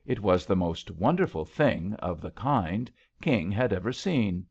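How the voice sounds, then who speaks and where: A man narrates an old-fashioned love story as an audiobook. His voice is on the low side with plenty of creak, and his pitch moves in a lively way. The recording is slightly dull and lo-fi. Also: unhurried. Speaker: audiobook reader.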